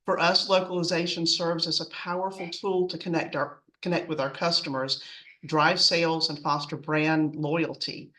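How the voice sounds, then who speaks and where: A woman's voice: low-pitched, level tone, moderate pace, bright, forceful tone, distant mic, low-quality recording. A woman presenting in a semi-scripted, businesslike way as a customer speaker on a webinar.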